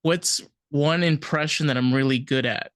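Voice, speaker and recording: A woman with a New York accent co-hosting a podcast, animated and playfully defensive, stumbling over quick restarts. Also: low-pitched, dry recording, clean studio sound. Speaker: podcast co-host. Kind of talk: casual chat.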